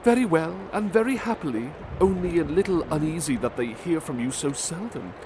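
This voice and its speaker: A man voicing an excited, effusive young man greeting an old friend, set over loud street ambience. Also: a little high, a little fast, background noise, voice pushed up, close to an everyday voice. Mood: glad, eager. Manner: bright, excited, effusive delivery.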